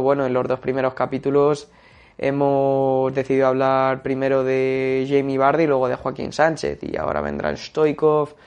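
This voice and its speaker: Young Spaniard talking football in a flat voice. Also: male voice, mid-range voice, clean tone. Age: young adult. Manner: steady, with frequent "eh" fillers. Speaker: football segment contributor. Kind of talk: casual radio-style football talk.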